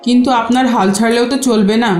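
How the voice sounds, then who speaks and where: A woman plays a friendly, pious cartoon neighbour with a fairly high voice. She gives advice in a slightly echoey recording. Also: steady tone, fast talker, darkish tone, soft background music, natural voice. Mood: advising. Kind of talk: cartoon voice acting in Bengali.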